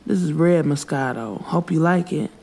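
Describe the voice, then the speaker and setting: Woman voicing a cocky, smooth young man, mellow. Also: low voice, normal speed. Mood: smooth, teasing.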